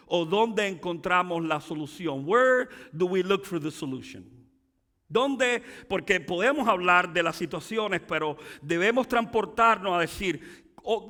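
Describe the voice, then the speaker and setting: Bright-voiced pastor preaching in a hall. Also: male voice. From Cuba. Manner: preaching with rising emphatic peaks and dropping low asides. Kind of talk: bilingual sermon.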